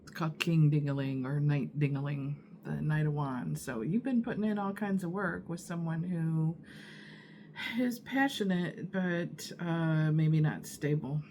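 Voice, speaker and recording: A woman reading tarot in an unhurried, musing way. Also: deep voice, darkish tone, a little hiss or hum. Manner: unhurried, musing, many fillers and pauses. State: husky morning voice from allergies. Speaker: tarot reader. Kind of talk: casual tarot reading to camera.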